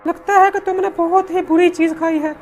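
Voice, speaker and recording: A woman voicing a protective, chiding village mother in an animated story. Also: very high voice, normal speed. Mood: concerned. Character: anxious, scolding village mother of a young girl. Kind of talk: Hindi animated horror story dialogue. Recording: music behind the voice.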